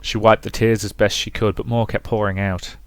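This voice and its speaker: A man's voice: on the low side, level tone, brisk, dry recording, faint music behind. A man commentating on and reading aloud from a visual novel as a let's play host.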